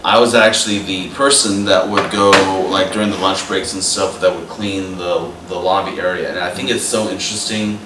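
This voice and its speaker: A man's voice: deep voice, fairly even intonation, normal speed, few pauses, noisy background. A young man hosting a talk show, greeting viewers with earnest, announcer-like emphasis and reading a poem aloud. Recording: roomy, distant mic.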